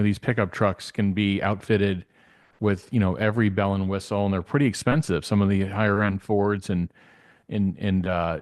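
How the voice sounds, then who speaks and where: Male guest commentator, lowish pitch, dry sound, conversational, halting as he rephrases. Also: no echo. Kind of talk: casual off-the-cuff commentary.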